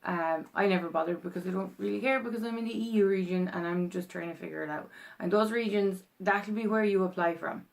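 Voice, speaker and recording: A woman's voice: medium pitch, fairly quick, creaky, hardly any pauses. A young Irish woman vlogging in a rambling, chatty way, full of ums and restarts as she explains a process. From Ireland. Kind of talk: casual explainer vlog.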